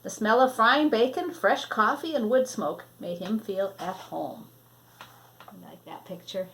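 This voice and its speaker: A woman reading a children's story aloud expressively, with an animated delivery, as if to listeners in front of her. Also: medium pitch, slight background noise. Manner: animated, addresses listeners, pausing to show pictures. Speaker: storybook reader.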